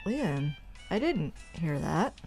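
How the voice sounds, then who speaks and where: A woman's voice: sits low, very animated, slow pace, vocal fry, a little mellow, soft background music, full character voice. A woman playing a worried, caring character in a horror audio drama, speaking with urgency. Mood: puzzled. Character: worried, caring woman, alarmed for her friends.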